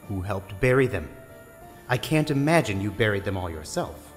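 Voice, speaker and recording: A man's voice: on the low side, big pitch swings, normal speed. An actor playing a stern, demanding lawman questioning a prisoner in an audio drama. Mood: stern, probing. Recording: long hall reverb, faint music.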